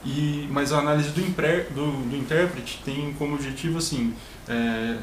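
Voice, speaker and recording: A man's voice: fairly high pitch, normal speed. Thoughtful violinist man, roomy sound. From Brazil, São Paulo state. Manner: thoughtful, explains at length. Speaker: guest violinist. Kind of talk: casual interview. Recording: roomy with background noise.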